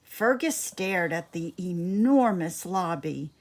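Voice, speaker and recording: A woman reading a children's story aloud in an expressive, deliberate narrating style. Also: fairly low pitch. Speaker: storybook reader.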